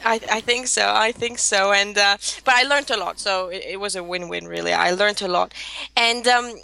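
A woman's voice: mid-range voice, normal speed, creaky, bright, forceful tone, dry recording. A woman in her thirties who grew up in Greece, a guest telling her story in a chatty interview. She often restarts her sentences. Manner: chatty, many restarts and laughs. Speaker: guest, social media marketer.

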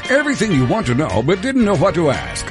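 Very animated male announcer over music. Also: high voice, fast talker. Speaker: show announcer. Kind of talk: scripted promotional announcement.

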